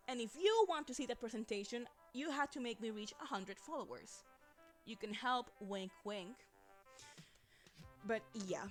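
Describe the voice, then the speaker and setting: Young female streamer, Spanish first language; chatty, rambly, quick to laugh; mid-pitched; faint music bed. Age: young adult. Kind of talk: casual livestream chat. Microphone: desk microphone.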